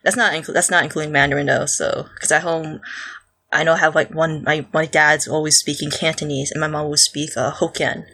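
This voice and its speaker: A woman co-hosting a casual podcast, talking haltingly with many false starts and fillers. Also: low voice, steady tone, vocal fry, bright, forceful tone, breathy edge. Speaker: podcast co-host.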